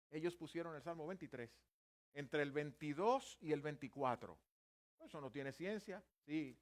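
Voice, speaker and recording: A man in his fifties who is a native Spanish speaker, preaching a sermon in a church, with self-deprecating humor and earnest Bible teaching. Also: on the high side. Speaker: pastor. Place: church sanctuary.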